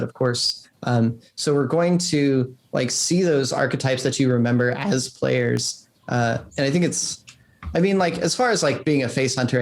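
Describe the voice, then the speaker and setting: A man talking casually as an interviewed game designer, in an informal, halting way with lots of filler words. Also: mid-range voice, gravelly.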